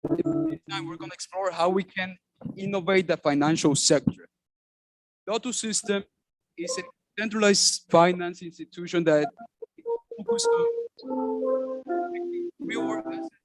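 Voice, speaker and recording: A man delivering a rehearsed startup pitch, walking through numbered points in a steady scripted way. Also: measured pace, pauses often, soft background music, rough recording. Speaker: startup founder presenting.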